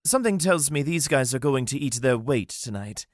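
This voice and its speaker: A man voicing a cheerful, excitable young male elf with a lifted, eager voice. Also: mid-range voice, moderate pace, dry recording, studio quality, pitched above the performer's own voice, natural voice. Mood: upbeat.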